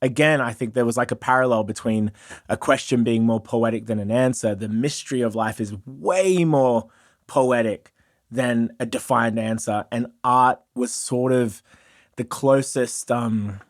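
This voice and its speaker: A man's voice: mid-range voice, a little slow, no echo. A middle-aged man in a casual studio conversation, effusive and wordy, laughing often and searching for words. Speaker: freestyle MC and podcast guest. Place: small studio room. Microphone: studio mic on stand, headphones.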